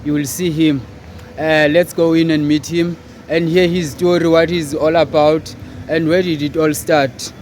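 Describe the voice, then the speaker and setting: A man's voice: on the high side, level tone, unhurried, a little mellow. A man, hosting a casual interview and chiming in with friendly, brief affirmations. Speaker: interviewer, host. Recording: long reverb, faint noise, vehicles.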